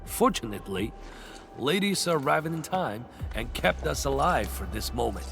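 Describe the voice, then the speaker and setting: A man voicing a gallant video game ally with a gravelly, courtly, measured delivery. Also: medium pitch, very animated. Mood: relieved. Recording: music under the voice.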